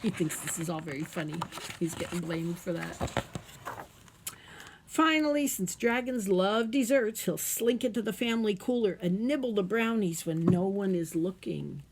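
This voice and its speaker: Animated woman reading a picture book aloud. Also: medium pitch, moderate pace, husky. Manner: expressive, playful, asks the listeners questions. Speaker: storytime reader at a library. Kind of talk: animated read-aloud of a children's picture book with chatty asides.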